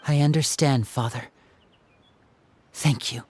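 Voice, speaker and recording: A performer voicing an earnest, devoted young man in a video game, humble but resolute, with a soft, hesitant manner. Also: mid-range voice, steady tone, slow, natural voice. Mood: determined. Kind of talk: dramatic video game voice acting. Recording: background music under the voice.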